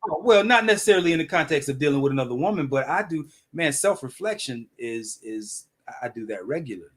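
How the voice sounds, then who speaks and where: A man co-hosting a relationship talk show, a coach who debates his co-host and reads out viewer comments in an animated way. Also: on the high side, fast, slightly rough.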